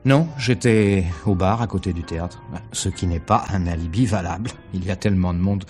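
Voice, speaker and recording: A man plays a discreet theatre agent in an old-style radio mystery. His voice is very low, his phrasing measured and guarded, with faint music underneath. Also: darkish tone, natural voice. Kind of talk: 1950s French radio mystery acting.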